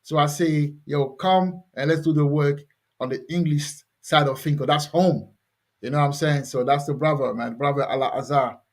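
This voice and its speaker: A man's voice: on the high side, fairly even intonation, normal speed. A man hosting an online scripture lesson, talking loosely with many fillers and repeats. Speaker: host teaching scripture. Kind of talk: casual religious teaching over video call.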